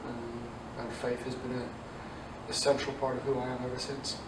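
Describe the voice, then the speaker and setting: A man in his forties from Dublin with an Irish accent softened by English, answering interview questions conversationally as a youth ministry director. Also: medium pitch, little pitch movement, moderate pace. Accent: Irish mixed with English. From south Dublin, Ireland. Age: 40s. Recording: echoey, distant mic, noisy room.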